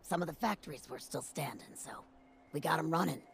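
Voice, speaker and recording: Gravelly ghoul survivor. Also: female voice, deep voice, brisk, slight background noise. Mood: somber. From Pittsburgh, USA. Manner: blunt, wry, plain-spoken. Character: tough gruff ghoul woman, factory worker survivor. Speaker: game character. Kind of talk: video game dialogue.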